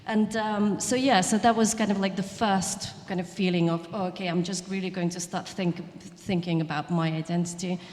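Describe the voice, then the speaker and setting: A woman from Ukraine, a panel guest, speaking earnestly in halting conversational talk with many fillers and restarts. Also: lowish voice, fairly even intonation, a little mellow, slight background noise. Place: event hall. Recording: long hall reverb.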